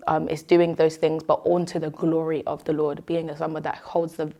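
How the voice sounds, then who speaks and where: A woman's voice: low-pitched, flat delivery, moderate pace, dark tone. A young woman with a British accent, chatting casually and expressively as she answers questions as a host and content creator. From Nigerian-born, moved to Britain at three.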